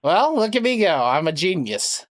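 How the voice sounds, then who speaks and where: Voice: mid-range voice, very animated, normal speed. A prank caller and streamer chatting casually, with a sarcastic, crude, rambling way of talking. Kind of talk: casual livestream chat and prank calling.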